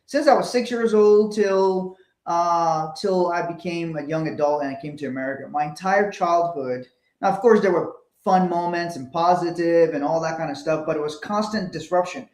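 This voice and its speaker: A man's voice: fairly high pitch, normal speed, darkish tone. Earnest Liberia-born man, echoey room. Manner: earnest, repeats words when starting sentences. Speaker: co-host. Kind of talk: motivational talk.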